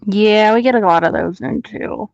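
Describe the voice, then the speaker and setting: A woman with an average-pitched voice chats casually in a dry, close recording. She is blunt and sweary, talking as one co-host among friends. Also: moderate pace, vocal fry, no echo, lo-fi sound. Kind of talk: casual group chat.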